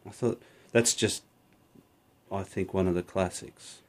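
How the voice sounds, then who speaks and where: Aussie male podcast co-host, low voice, loose unscripted chat with restarts. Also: fairly even intonation, a little slow, slight background noise. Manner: rambling, self-correcting, laughs often. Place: small recording studio. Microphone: studio microphone.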